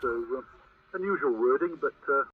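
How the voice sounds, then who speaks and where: A man relaying calls casually over CB radio in a halting, hesitant way. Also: mid-range voice, expressive. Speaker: CB radio operator. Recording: tinny, very noisy CB radio transmission.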